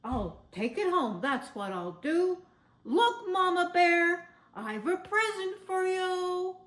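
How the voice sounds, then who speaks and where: A woman's voice: very high voice, big pitch swings, slow, fairly bright tone, reverberant, pitched above the performer's own voice, heavily acted. A woman voicing a jolly father bear in a storybook, hearty and exclamatory. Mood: pleased. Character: jolly father bear eager to please his family.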